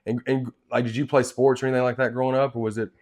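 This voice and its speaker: A man's voice: mid-range voice, flat delivery, very fast, darkish tone. Chatty male interview host. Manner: chatty, enthusiastic, loose fillers like "man". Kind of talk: casual interview hosting.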